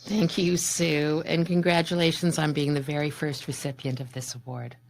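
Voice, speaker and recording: A woman's voice: low voice, level tone, moderate pace, creaky, no room sound. A woman hosting an awards event, announcing winners plainly and stumbling slightly now and then. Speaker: emcee. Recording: dry close sound.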